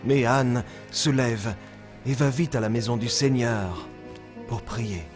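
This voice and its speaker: A man's voice: medium pitch, slow pace, over music. A man narrating a scripted Bible story for young children as a storyteller.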